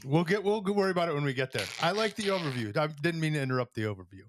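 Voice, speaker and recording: A man's voice: fairly high pitch, animated, rapid-fire, background noise. A man co-hosting a podcast, chiming in with playful, teasing remarks. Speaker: podcast co-host. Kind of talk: casual chat.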